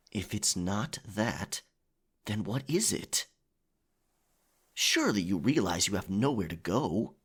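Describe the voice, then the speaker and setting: A man plays a roguish pirate captain in an ASMR audio drama, low and husky. He takes his time with gallant, old-fashioned phrases, courteous yet firm about who commands the ship. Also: big pitch swings, slow pace, long pauses, full character voice. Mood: probing, softer. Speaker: audio roleplay performer. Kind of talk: ASMR audio roleplay, intimate dramatic acting.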